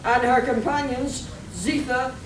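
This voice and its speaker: A woman's voice: sits high, fairly even intonation, measured pace. A woman performing an arch, mock-dramatic narrator of a theatrical radio serial. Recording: echoey, noisy hall recorded from far off.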